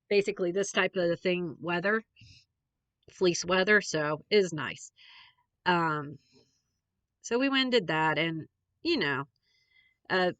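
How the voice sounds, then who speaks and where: Rambling lady, bit stuffed up, lowish voice, dry close sound. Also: a bit bright, no echo. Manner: chatty, meandering, lots of fillers. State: congested. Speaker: podcast host. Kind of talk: rambling casual chat.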